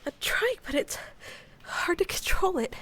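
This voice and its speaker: A woman acting a boisterous, apologetic female researcher in an amateur audio drama, halting and flustered as she fights back sneezes. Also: very high-pitched, big pitch swings, a bit bright, no room sound, exaggerated voice. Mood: strained, apologetic.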